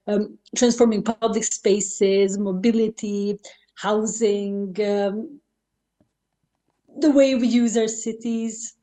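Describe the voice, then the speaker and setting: A woman from Hungary with a Hungarian accent, speaking as a local politician in an earnest, halting panel speech over a video call. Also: mid-range voice, mellow.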